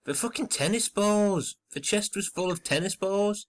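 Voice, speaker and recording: A man's voice: high voice, normal speed, natural voice. A man playing a sarcastic, exasperated castaway with a dry, questioning manner in an audio comedy. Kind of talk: scripted audio comedy sketch acting.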